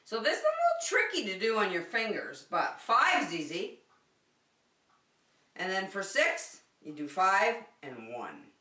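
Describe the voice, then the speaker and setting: Female preschool circle-time teacher, bright and encouraging, simple repetitive phrasing, roomy distant-mic sound. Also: medium pitch, very animated, unhurried. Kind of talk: preschool lesson addressed to young children. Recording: roomy echo, distant microphone.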